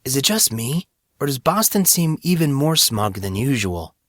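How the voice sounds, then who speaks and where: Man voicing an earnest young student, pitched up. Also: big pitch swings, moderate pace, fairly bright tone, no room sound, studio quality. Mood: suspicious. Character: earnest, anxious young male university student hero.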